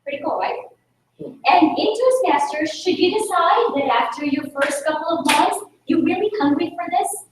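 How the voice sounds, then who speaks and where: Woman from the Philippines, Tagalog native, high voice, energetic public talk, heavy room echo, distant mic. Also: a little hiss or hum. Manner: energetic, rhetorical, engaging the audience. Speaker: speaker at a club meeting. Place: meeting room. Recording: echoey room recorded from a distance.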